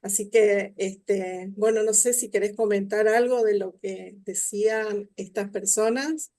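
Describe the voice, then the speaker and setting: A woman's voice: mid-range voice, fairly even intonation, measured pace, warm tone. A woman moderating an academic talk over a video call, hesitant, with many fillers and restarts. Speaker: symposium moderator.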